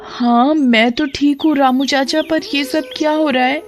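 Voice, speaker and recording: A woman's voice: sits high, steady tone, a little slow, pitched above the performer's own voice. A woman voicing an earnest young elder sister. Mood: anxious. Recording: dull lo-fi audio, faint music.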